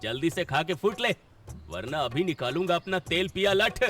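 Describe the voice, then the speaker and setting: A blustering, miserly cartoon boss, acted by a man, who scolds and sneers. His voice sits fairly high and leaps around with sarcasm, over faint music. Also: animated, moderate pace, a bit bright. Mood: angry, scolding. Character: grumpy, miserly, bullying dhaba boss. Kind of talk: exaggerated comic cartoon acting.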